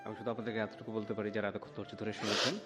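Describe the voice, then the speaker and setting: A man's voice: lowish voice, flat delivery, racing. Bangladeshi man hosting a dramatic storytelling show. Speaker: show host and interviewer. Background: music.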